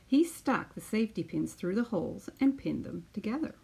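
Gravelly-edged middle-aged woman reading to children. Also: medium pitch, lively intonation, moderate pace, mellow. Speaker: teacher reading a picture book aloud to pupils. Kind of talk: children's storybook read-aloud.

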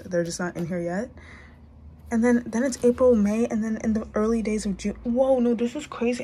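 A young woman talks to her camera in a chatty, excitable vlog. Her pitch sits in the middle range, and she sometimes slips into hushed whispers. A faint hiss sits under her voice. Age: young adult. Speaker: vlogger. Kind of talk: casual vlog chat.

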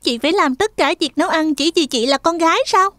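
A woman's voice: extremely high pitch, fairly quick, fairly bright tone, no room sound. A woman voicing a kind, motherly young girl in a cartoon dub. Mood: motherly, assured.

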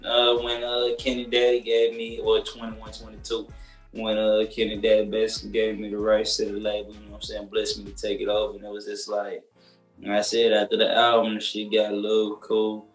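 A young man in his twenties talks in a low, flat, laid-back way, full of slang and fillers. He sits on a couch, and his voice reaches a distant camera through a roomy echo. Soft music plays underneath. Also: brisk. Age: 20s. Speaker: rapper guest. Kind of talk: casual interview chat. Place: living room couch, indoors.

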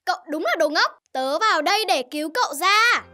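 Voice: extremely high pitch, expressive, a little fast, bright, forceful tone, full character voice. An actor voicing a cocky, street-smart young stray cat in a cartoon, talking in a teasing, bossy, confident way. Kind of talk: animated cartoon dubbing. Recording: faint music behind the voice.

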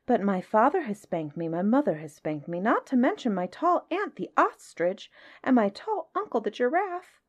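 A woman acts out a young boy elephant from a storybook, pitched high and swooping. He is very polite and curious, with an earnest, childlike plea in his words. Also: big pitch swings, moderate pace. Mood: polite, pleading. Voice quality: nasal when nose is caught. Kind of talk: storybook character voice.

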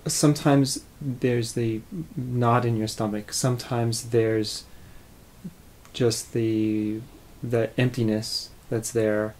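A man in his thirties speaks in a reflective documentary interview. His voice is of medium pitch, and he pauses often as he searches for words. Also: flat delivery, darkish tone. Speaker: adoptive parent, interviewee. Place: living room, indoors.

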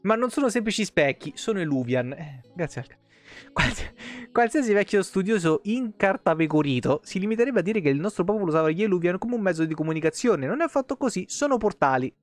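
A man in his thirties with a Roman Italian accent and a fairly high voice. He reads fantasy game lore aloud and slips into informal, expressive asides. Music plays softly behind him as he streams. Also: fast talker. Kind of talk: reading game lore aloud with casual live commentary. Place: indoor room, home streaming setup. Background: background music with guitar.

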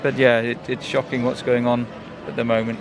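A man's voice: medium pitch, little pitch movement, fairly quick, a crowd in the background. A middle-aged man with a British accent chatting casually in a street interview outdoors. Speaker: interviewed visitor. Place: busy outdoor exhibition plaza. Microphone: handheld reporter microphone. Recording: noisy open-air street recording.

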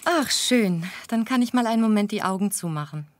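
A woman's voice: mid-range voice, expressive, a little fast, no room sound, lightly acted. A woman playing a practical, caring mother in a children's audio drama. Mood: relaxed.

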